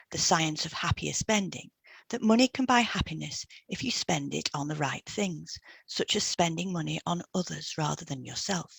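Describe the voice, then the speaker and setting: A woman's voice: fairly low pitch, gravelly, breathy edge, no room sound. A woman reading a scripted informational piece as a podcast host, in an instructive, explanatory way.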